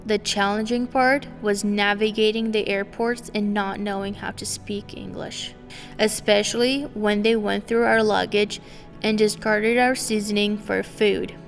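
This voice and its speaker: A woman in her twenties, born in Romania and a native Romanian speaker, calmly reading her own story as scripted narration. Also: mid-range voice, unhurried, creaky. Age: 20s. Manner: calm, reflective, read aloud line by line. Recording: long reverb with faint music behind.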